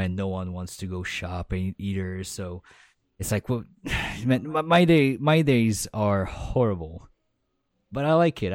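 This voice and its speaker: A man co-hosting a podcast, talking casually and haltingly with many restarts. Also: on the low side, a little mellow, dry recording, clean studio sound. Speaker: podcast co-host. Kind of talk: casual chat.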